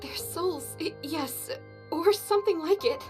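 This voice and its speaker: A woman voicing a gentle, devout young warrior in a video game, soft and earnest, with measured solemn delivery. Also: very high-pitched, music in the background. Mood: awed.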